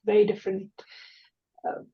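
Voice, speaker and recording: A woman from India with an Indian accent, musing conversationally about herself as a panel guest. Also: normal speed. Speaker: podcast guest. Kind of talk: conversational personal reflection in a panel discussion. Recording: slightly dull lo-fi sound.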